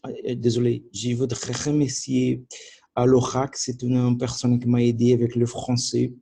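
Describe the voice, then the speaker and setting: A man whose first language is Portuguese, speaking French as a learner with a Portuguese accent in a video diary, halting and searching for words with many restarts. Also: mid-range voice, completely flat delivery, gravelly, warm tone, slightly rough. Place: at home by his computer.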